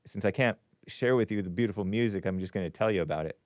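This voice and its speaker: A man's voice: lowish voice, quick pace, darkish tone, dry recording. A man hosting a call-in talk show, rambling through the news with opinions and frequent restarts. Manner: rambling, opinionated, self-interrupting. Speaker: host. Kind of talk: casual talk radio monologue.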